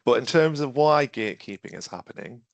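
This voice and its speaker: A man with a cough leads a webinar in a gravelly voice, speaking close to a dry-sounding computer mic. He talks in a conversational way, restarting phrases and asking his listeners questions as he thinks aloud. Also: medium pitch, lively intonation, fairly quick, no room sound, muffled recording. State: has a cough and is losing his voice. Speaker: webinar trainer. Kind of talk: interactive online training talk.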